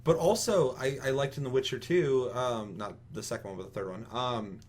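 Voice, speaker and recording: A man's voice: medium pitch, moderate pace. A man talking casually and bluntly about games as a podcast host, swearing freely. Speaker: video game podcast host.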